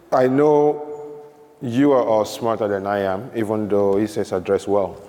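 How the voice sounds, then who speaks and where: Low-pitched man, playful co-presenter, teasing, reverberant hall. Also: darkish tone. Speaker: solutions architect, co-presenter. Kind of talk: joking conference talk. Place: large conference hall.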